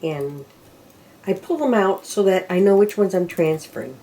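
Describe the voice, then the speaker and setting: A woman's voice: on the low side, lively intonation, normal speed, mellow, low-quality recording. Older woman talking through her knitting. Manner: talks to herself while working, counting rows aloud. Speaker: knitting instructor. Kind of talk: casual step-by-step craft demonstration.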